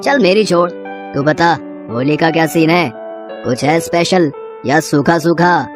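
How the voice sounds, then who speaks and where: A woman's voice: low-pitched, a little slow, music in the background. A woman voicing a breezy, carefree young male party friend who talks in a slangy, laid-back way.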